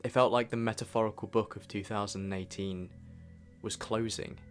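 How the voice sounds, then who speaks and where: Low-voiced young British man narrating. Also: steady tone, moderate pace, clear, resonant tone, soft background music. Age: young adult. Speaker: video creator narrating own year review. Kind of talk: scripted reflective voice-over narration.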